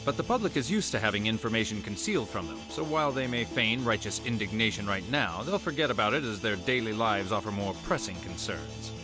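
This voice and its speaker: An actor playing a cold, condescending intelligence chief in an anime game dub, measured, formal and reproachful. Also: male voice, fairly low pitch, lively intonation, over music, lightly acted.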